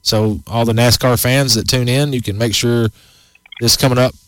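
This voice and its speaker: A man's voice: mid-range voice, fairly even intonation, moderate pace, no echo, low-quality recording. A man in his forties hosting a casual outdoors radio show, rambling and folksy with many restarts. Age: 40s.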